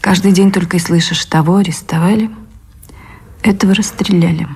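A woman's voice: lowish voice, steady tone, brisk, a little mellow, breathy edge. An actress playing a refined, ardent woman in love, emotional and theatrical, in a Russian period radio drama. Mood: troubled. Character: Anna, refined, ardent woman in love, early 20th century.

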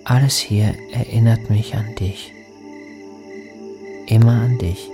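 An actor playing a lonely, grieving man in a radio drama, speaking a hushed, wistful poetic monologue to his lost beloved. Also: lowish voice, steady tone, slow, over music. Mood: tender, longing.

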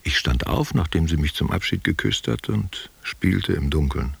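Very deep, husky nostalgic old man. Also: animated, moderate pace, heavily acted. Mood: wistful reminiscing. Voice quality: husky, gravelly. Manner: intimate, reflective, drifting between memory and direct address. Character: nostalgic old man, former only child, tender and wistful. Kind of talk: literary radio play monologue.

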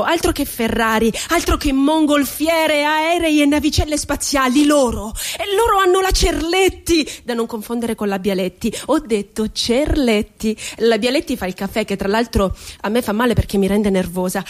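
A woman's voice: fairly high pitch, lightly acted. An actress playing a wry, childlike, wounded woman poet confined in an asylum, in a lyrical theatrical monologue. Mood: childlike, ironic. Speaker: stage actress. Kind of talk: theatrical monologue for radio drama.

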